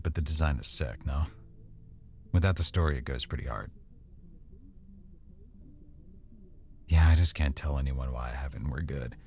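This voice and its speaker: A man playing an affectionate, teasing, easily embarrassed boyfriend in an intimate, conversational ASMR roleplay, speaking softly and a little hesitantly. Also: rumbling low, vocal fry, a little hiss or hum. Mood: playfully defiant.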